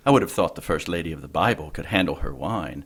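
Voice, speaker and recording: Casting: a worldly clergyman for audio drama, low husky voice, courtly and flirtatious. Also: sing-song, moderate pace, exaggerated voice. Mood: wary, courteous. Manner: courtly, formal. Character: suave, flirtatious, worldly male monsignor.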